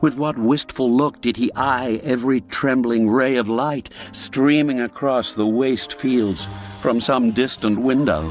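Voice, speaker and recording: A man narrating a classic radio drama, telling it expressively and rising and falling with the suspense. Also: mid-range voice.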